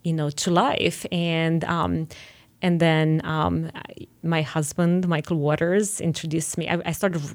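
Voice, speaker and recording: Romanian-accented woman poet, smooth lowish voice. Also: steady tone. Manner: hesitant, restarts often when speaking freely; slow and deliberate when reading poems. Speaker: poet guest. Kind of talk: interview talk and poetry reading.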